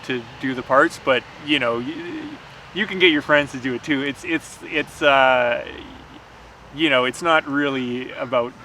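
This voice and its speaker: A man's voice: medium pitch, measured pace, bright, forceful tone, a little hiss or hum. A man chatting casually and agreeably as a guest on a vlog. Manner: conversational, agreeable. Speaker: guest co-writer. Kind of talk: casual chat.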